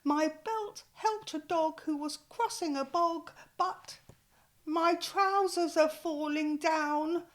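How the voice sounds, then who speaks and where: A woman's voice: extremely high pitch, slow, pitched above the performer's own voice. A woman voicing a kind, cheerful male giant who chants little rhymes in a storybook reading. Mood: sing-song. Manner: sing-song rhyming chant.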